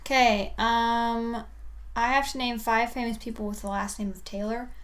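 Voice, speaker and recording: A woman's voice: a little high, steady tone, moderate pace, slight background noise. Wry, teasing woman co-host. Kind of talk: casual chat and mock news reading.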